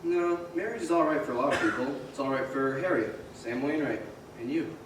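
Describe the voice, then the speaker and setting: A man's voice: medium pitch, brisk, natural voice. An actor playing a stubborn, awkward, grumbling young small-town man in an old-time radio play. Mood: grudging. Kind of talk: old-time radio drama stage acting. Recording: echoey theater, distant mic, faint noise.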